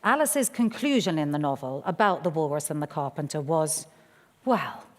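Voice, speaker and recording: A woman's voice: deep voice, animated, normal speed. A woman presenter giving a scripted introduction to a reading on a theatre stage. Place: theatre stage before an audience. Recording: reverberant theatre hall.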